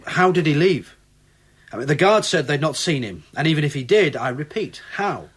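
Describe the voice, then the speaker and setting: An actor playing a calm, persistent police inspector who questions with measured, probing lines in a radio play. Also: male voice, a little high, husky. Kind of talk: radio drama acting.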